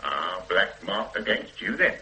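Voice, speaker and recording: A jaded, wry police sergeant. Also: male voice, fairly low pitch, big pitch swings, measured pace, bright, forceful tone, heavily acted. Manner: wry, grumbling, matey. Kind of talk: radio drama acting. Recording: roomy radio drama recording.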